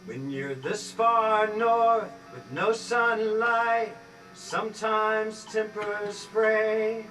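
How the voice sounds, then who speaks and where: A woman playing the singing narrator of a wintry animated tale. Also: mid-range voice, very slow, recorded from a distance, soft background music, low-quality recording. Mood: wry, lamenting. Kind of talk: sung cartoon narration.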